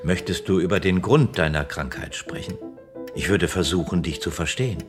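Ein Schauspieler spielt in einem Hörspiel einen besorgten, würdevollen alten Vater, der bedächtig und fürsorglich spricht. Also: male voice, sits low, moderate pace, music in the background, natural voice. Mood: caring, solemn. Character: concerned, gentle, dignified old father. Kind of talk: radio drama dialogue.

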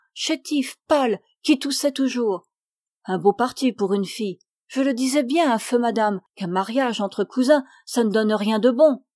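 A woman voicing an old peasant servant woman who is wary and talkative, with loud, quick, rustic speech. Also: a little high, no room sound, studio quality, natural voice.

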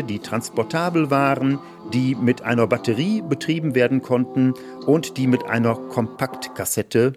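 A man with a mid-pitched voice talks as a museum director showing visitors an exhibition. He explains things step by step, with music faintly behind him. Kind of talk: explanatory guided talk.

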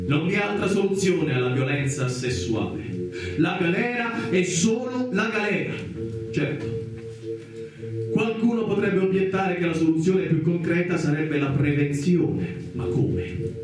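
A man playing a pompous orator who declaims formally and emphatically in a radio play. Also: fairly high pitch. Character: pompous male orator lecturing on crime and punishment. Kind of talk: theatrical declaimed speech. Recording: very echoey, distant, with music behind.

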